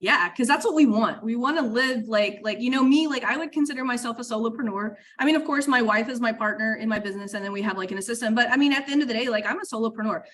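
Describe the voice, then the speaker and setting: A woman business coach hosting an interview, talking enthusiastically in fast bursts with lots of fillers. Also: on the high side, fairly bright tone. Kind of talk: interview chat.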